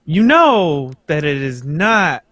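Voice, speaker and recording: A man casually telling an anecdote in an animated way, acting out what people said. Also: fairly high pitch, slow, no room sound, clean studio sound. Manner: animated storyteller, acts out quotes. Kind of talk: casual chat.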